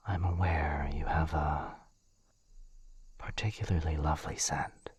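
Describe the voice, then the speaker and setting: A man playing a gentle, protective, courtly vampire lord in an ASMR roleplay, speaking softly in a husky near-whisper with a hesitant, formal, earnest manner. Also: very deep voice, steady tone, a little slow, no room sound, heavily acted. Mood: earnest, careful. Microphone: close studio mic, dry.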